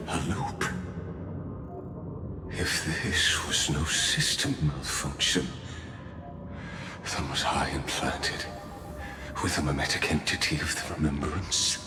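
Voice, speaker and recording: A man playing a cold, arrogant villain of a genius in a video game, speaking with pompous, menacing formality. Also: a bass voice. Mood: cold questioning. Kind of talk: dramatic video game voice acting. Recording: loud music under the voice.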